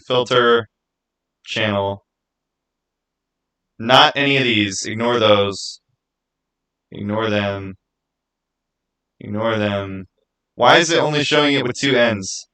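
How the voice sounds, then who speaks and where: A man's voice: lowish voice, takes it slowly, pauses often, sharp tone, smooth. A man hosting a casual livestream, rambling and thinking aloud as he answers viewers by name. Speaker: livestream host.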